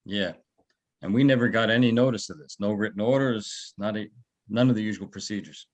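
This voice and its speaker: A conscientious security guard, played by a man in a table read. He speaks plainly in a lowish voice, puzzled and a little hesitant. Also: a little fast, lightly acted. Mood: concerned, confiding. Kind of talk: screenplay table read acting.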